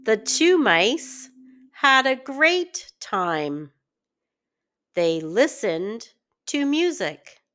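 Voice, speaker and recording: A woman teacher reading a children's story aloud with deliberate, word-by-word phrasing for early readers. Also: fairly high pitch, very animated, fairly bright tone. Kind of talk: children's story read-aloud, scripted narration.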